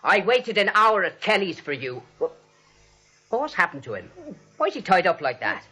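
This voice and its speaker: An actor playing an eager, oblivious, chatty policeman with rough grammar in a 1940s radio comedy. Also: sits high, normal speed, exaggerated voice. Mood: friendly, blustering. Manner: chatty, excitable, ungrammatical storytelling. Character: eager, oblivious Brooklyn policeman and would-be playwright. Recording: muffled old 1940s radio recording.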